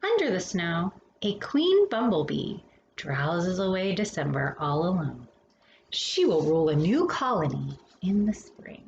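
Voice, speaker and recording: A woman reading a children's picture book aloud as a storytime host, with expressive phrasing and hushed, drawn-out endings. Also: lowish voice, gravelly, muffled recording. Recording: roomy echo with faint background noise.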